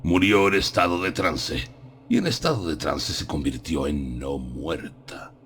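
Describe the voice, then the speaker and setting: An actor playing a solemn, learned old professor who hunts vampires, explaining things deliberately in a gothic audiobook drama. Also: male voice, rumbling low, expressive, a bit bright, a little hiss or hum, heavily acted. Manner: solemn, didactic, deliberate phrasing.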